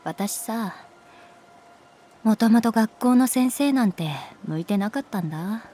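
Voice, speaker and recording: A woman voicing a calm, resigned, gently protective art teacher in a Japanese audio drama, speaking in a soft, measured, wistful way. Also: on the high side, slight background noise. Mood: wistful, confessional. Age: adult. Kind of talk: Japanese audio drama acting, intimate dialogue.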